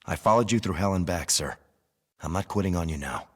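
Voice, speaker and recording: A man's voice: low voice, brisk, in a large hall. A man playing a loyal, earnest, disciplined military captain in a video game. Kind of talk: video game cutscene acting.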